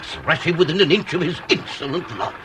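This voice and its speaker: An actor playing a pompous, irascible Victorian police inspector with a British accent, speaking in florid, blustering, orotund phrases for a comic period radio drama. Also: male voice, on the high side, big pitch swings, brisk, noisy background. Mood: indignant.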